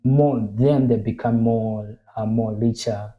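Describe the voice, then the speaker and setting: A man, a painter, talking in an interview, halting and earnestly repeating his phrases. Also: medium pitch, fairly even intonation, phone-quality sound. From Zambia. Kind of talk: casual interview talk.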